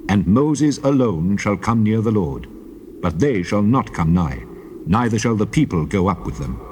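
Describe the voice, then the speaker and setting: A man's voice: fairly low pitch, very animated, moderate pace, a little mellow. A man playing a solemn, authoritative God in a dramatized scripture reading. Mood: summoning. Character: God of Israel, male, commanding, solemn lawgiver. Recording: clearly noisy old cassette tape.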